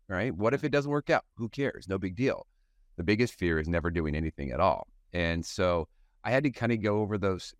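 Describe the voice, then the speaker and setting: Deep-voiced middle-aged man, reflective. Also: no room sound, studio quality. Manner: thoughtful storyteller, frequent "you know" fillers. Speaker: guest, sales professional. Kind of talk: reflective interview answers.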